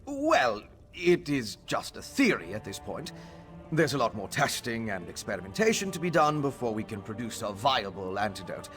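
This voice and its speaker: An actor playing a learned male alchemist scholar in a video game, measured and explanatory. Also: mid-range voice, sing-song, over music, lightly acted. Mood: earnest. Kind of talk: studio video game voice acting.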